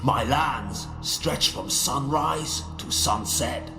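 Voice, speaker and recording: An actor playing a boastful, ruthless Mongol warlord in a video game cutscene, declaiming in a grandiose, deliberate way. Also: male voice, medium pitch, creaky. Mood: grand menace. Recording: roomy echo over music.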